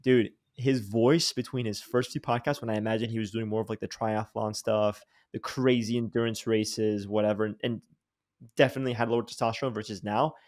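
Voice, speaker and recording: A young man hosting a podcast, talking in a lively, slangy way with many restarts and repeated words. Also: on the low side, brisk. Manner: animated, slangy, says dude and bro, many restarts. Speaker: podcast host. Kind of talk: casual interview chat.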